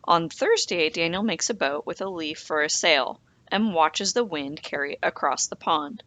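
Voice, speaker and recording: A woman reading a children's picture book aloud as an expressive scripted narration. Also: lowish voice, moderate pace. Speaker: storybook reader.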